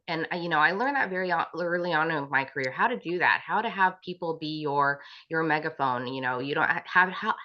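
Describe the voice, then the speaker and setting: A woman speaking as a panel guest, gushing and quick, full of "like" and restarts. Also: lowish voice, a bit bright. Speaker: panel guest, business owner. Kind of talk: casual interview talk. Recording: dull lo-fi recording.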